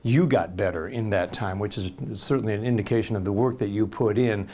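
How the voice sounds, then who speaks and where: A man's voice: fairly low pitch, brisk, dark tone, slight background noise. An American man working as a baseball broadcaster, conducting a conversational interview with long, chatty lead-in questions.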